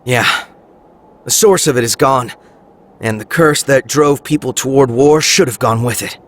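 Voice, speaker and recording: An actor playing a resolute young police team leader in a video game, speaking in a formal, measured, firm manner. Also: male voice, mid-range voice, lightly acted. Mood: reasoning. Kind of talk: anime-style video game voice acting.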